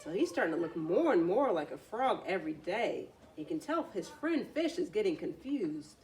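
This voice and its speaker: Expressive woman reading a children's story. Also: lowish voice, moderate pace, distant mic, a little hiss or hum. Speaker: storyteller for a children's read-aloud.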